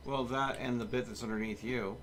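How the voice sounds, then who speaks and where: A man's voice: medium pitch, fairly even intonation, a little fast, background noise. A man hosting a livestream, chatting casually and giving instructions to his teammates as he plays a game. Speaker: livestream host and player. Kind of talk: casual gaming stream chat, giving team instructions.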